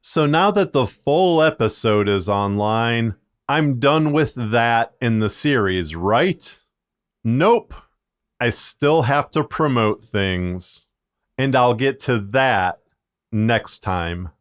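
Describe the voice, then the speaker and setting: Slow, clear-voiced man narrating a tutorial. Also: medium pitch, animated. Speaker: podcast creator and tutorial narrator. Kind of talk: scripted tutorial narration, conversational.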